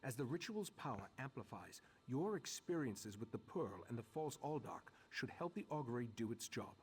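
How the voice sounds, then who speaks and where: An actor playing an earnest, authoritative master of a mage order in a video game, measured and formal. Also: male voice, mid-range voice, very animated, low-quality recording. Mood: serious, instructing. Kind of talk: video game character acting.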